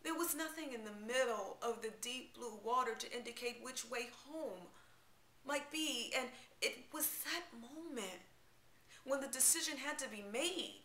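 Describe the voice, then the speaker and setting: A woman giving a dramatic spoken-word poetry recital, theatrical and emphatic, with deliberate pauses. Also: a little high, pushed and bright. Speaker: poetry reader. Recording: roomy, echoing live-room sound.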